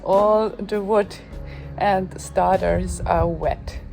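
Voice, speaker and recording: A woman's voice: mid-range voice, steady tone, extremely slow, vocal fry. A woman who is not a native English speaker, vlogging an enthusiastic road trip in a chatty voice-over. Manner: chatty and enthusiastic, slightly non-native phrasing. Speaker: travel vlogger. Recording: guitar music playing underneath.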